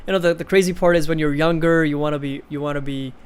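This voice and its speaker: A man in his twenties from India with a fairly high, very clear and smooth voice. He talks casually as a livestream host, fielding comments from viewers in an informal, conversational way. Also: steady tone, fast. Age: 20s. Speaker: livestream host of an investing channel.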